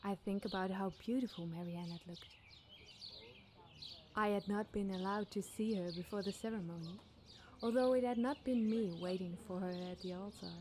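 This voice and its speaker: A woman's voice: medium pitch, steady tone, normal speed, a little mellow, a little hiss or hum. A woman reading a scripted scary campfire story as a podcast storyteller.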